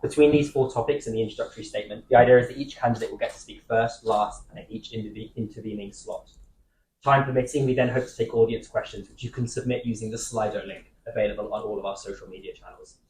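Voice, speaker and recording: Fast-talking young male debate moderator. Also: on the low side, darkish tone, echoey room, slight background noise, rough recording. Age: young adult. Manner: quick, slightly halting with fillers. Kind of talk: hosting a student debate.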